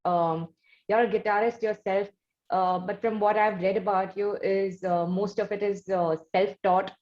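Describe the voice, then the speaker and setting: A woman with an Indian accent hosting an interview, putting questions in a halting, roundabout way. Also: mid-range voice, fairly even intonation, a little mellow. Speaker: interviewer and show host. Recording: dull, muffled lo-fi audio.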